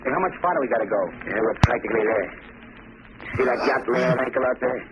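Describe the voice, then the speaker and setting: A man's voice: medium pitch, expressive, quick pace, natural voice, rough recording. An actor playing tough, jumpy gangsters in a 1940s radio crime drama, talking in slangy tough-guy style. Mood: gruff. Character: tough, jumpy male gangster henchmen and boss. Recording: old radio show, loud chatter over it.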